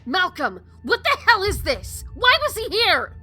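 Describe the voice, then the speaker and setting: Woman acting fiery heiress, audio drama, high sharp voice, indignant, faint music. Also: huge pitch swings, unhurried, exaggerated voice. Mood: outraged.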